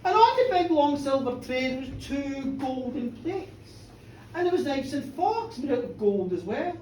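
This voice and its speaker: Animated high-voiced woman storyteller, echoey room. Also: moderate pace. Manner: theatrical, colloquial, repeats phrases for effect. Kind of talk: live dramatic folk-tale storytelling. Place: indoor bar, live performance. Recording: echoey bar, distant mic, background noise.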